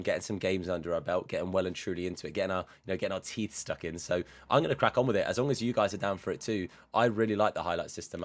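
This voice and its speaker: A man hosting a gaming channel, hoarse from losing his voice, chatting casually and rambling as he plays a career mode. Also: low voice. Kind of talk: casual gameplay commentary.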